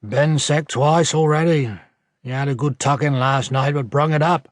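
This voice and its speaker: A man voicing a gruff, caring old countryman with a rural English accent, terse and plain-spoken in an audiobook. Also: on the high side, steady tone, moderate pace, no echo, clean studio sound, voice pushed up, natural voice. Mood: plain, concerned. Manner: terse, rustic dialect phrasing.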